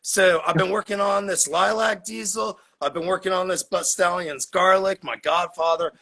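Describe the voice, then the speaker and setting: A man's voice: high voice, fairly even intonation, moderate pace, creaky, bright, forceful tone. A man in his forties from Florida, telling his story as a podcast guest in a laid-back, swearing, laughing way. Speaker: podcast guest, cannabis grower. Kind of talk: casual podcast chat.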